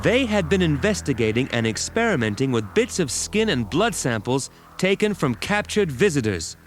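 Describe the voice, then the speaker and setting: Animated male storybook narrator over music. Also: on the high side, moderate pace. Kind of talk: scripted dramatic storybook narration.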